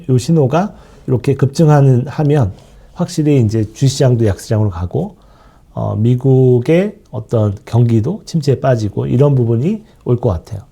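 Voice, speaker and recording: A man lectures through a cold, his voice husky and very dark. His pitch rises and falls a lot as he explains point by point, padding his sentences with fillers and trailing asides. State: sore throat from a cold. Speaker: fund manager lecturing on markets. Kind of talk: market outlook lecture.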